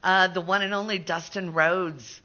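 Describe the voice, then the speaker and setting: A woman's voice: lowish voice, steady tone, a little slow, sharp tone, muffled recording. A middle-aged woman hosting a radio show, chatting casually as she promotes an event in an interview. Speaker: radio host.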